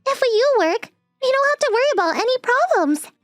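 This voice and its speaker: A bubbly young female coworker in an anime-style manga dub. She is cutesy and excitable, extremely high in pitch and very animated, recorded dry. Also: a little fast, dry recording, soft background music. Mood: gushing.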